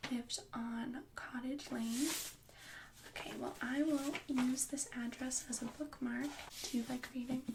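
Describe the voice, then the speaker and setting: A woman playing a gentle, bookish young fairy-tale heroine in a whispered ASMR roleplay, speaking in a soft unvoiced whisper with a chatty, reassuring manner. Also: fairly high pitch, background noise.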